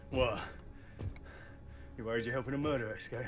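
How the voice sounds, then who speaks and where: An actor playing a weary, defensive prison inmate with a violent past in a cinematic video game, wry and streetwise. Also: male voice, mid-range voice, close to an everyday voice. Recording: game audio with music behind. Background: music.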